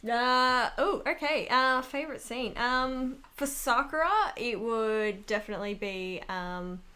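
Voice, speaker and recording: A woman's voice: fairly high pitch, unhurried, pushed and bright. Woman chatting casually in a rambling way. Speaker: amateur voice actress being interviewed. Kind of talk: casual chat interview.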